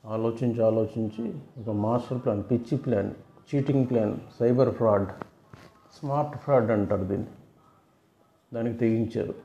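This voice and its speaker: A man's voice: mid-range voice, normal speed, creaky, long pauses, warm tone. A man explaining things casually to viewers as a video host, often repeating and rephrasing his points. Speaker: video host giving fraud awareness advice. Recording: roomy, dull lo-fi sound.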